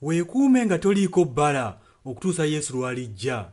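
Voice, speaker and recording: A man's voice: a little high, sing-song, a little fast, a little mellow, slight background noise. A Ugandan man interpreting a sermon earnestly, phrase by phrase. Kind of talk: consecutive sermon translation. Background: music.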